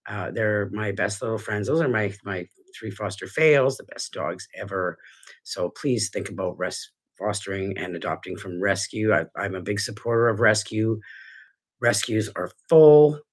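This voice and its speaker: Middle-aged male dog trainer; husky, congested; rambling, sincere home video host. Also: fairly low pitch, moderate pace. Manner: earnest, rambling with restarts. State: stuffy, congested. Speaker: dog trainer hosting a weekly show. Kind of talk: casual talk to camera. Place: living room at home.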